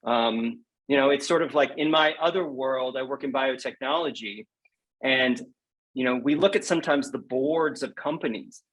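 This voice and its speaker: A man's voice: mid-range voice, normal speed, a bit bright, low-quality recording. Guy being interviewed on a podcast, earnest and emphatic, says things twice to stress them. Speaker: interview guest, poet and prize judge.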